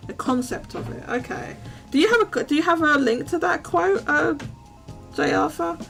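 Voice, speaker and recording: A woman hosting a live call-in stream, chatty and upbeat, her voice going a little hoarse. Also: high-pitched, normal speed, a bit bright, soft background music. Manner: chatty, restarts often, upbeat. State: losing her voice. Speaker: host.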